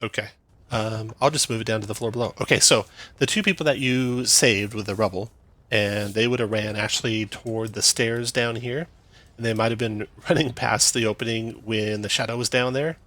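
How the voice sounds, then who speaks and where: A man acting as game master, narrating a tabletop game in a relaxed, explaining way with fillers. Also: lowish voice, moderate pace.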